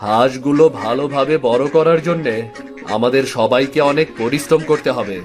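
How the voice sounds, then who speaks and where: Casting: man as plain-spoken farmer dad, mid-pitched, earnest, faint music bed. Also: moderate pace, lightly acted. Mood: thoughtful. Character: Ramesh, humble good-natured village farmer father. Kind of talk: cartoon story voice acting.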